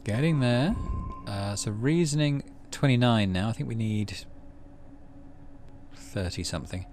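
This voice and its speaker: A man's voice: mid-range voice, unhurried, a little mellow, soft background music. A man hosting a game playthrough, thinking aloud casually and narrating story text. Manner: thinks aloud, hesitant fillers. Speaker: let's play host.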